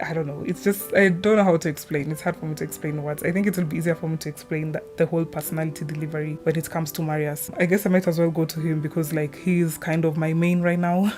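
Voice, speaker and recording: A fan's gaming channel, where a young woman with a lowish voice gushes and wanders through her favourites over soft music. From Africa. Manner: gushing, meandering, often trailing off. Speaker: gaming video creator. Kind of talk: casual rambling fan chat. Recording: music behind the voice. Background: background music.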